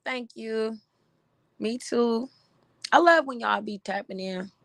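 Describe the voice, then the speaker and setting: A woman with a slightly raspy voice hosting a casual livestream, giving blunt, animated commentary with frequent laughs. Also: fairly high pitch, slow. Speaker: livestream host.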